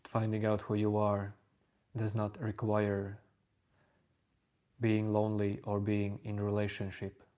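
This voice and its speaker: A man with a Latvian accent, hosting a livestream and calmly answering chat questions about self-inquiry. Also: lowish voice. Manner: unhurried, even, reading out chat names. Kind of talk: slow calm spiritual chat.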